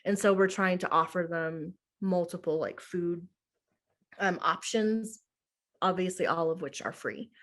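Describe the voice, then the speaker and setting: Young teacher lady rambling casually, says like a lot, lowish voice. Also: unhurried, fairly bright tone. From United States. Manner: chatty, heavy use of "like" and "um". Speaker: middle school speech and debate teacher. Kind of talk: casual interview answers.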